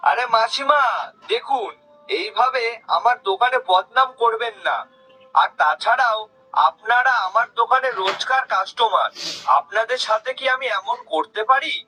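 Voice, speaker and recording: A man's voice: very high voice, normal speed, sharp tone, faint music behind, exaggerated voice, rough recording. A man playing a sly, greedy, wheedling village shopkeeper in a cartoon. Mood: defensive, wheedling. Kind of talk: cartoon character acting.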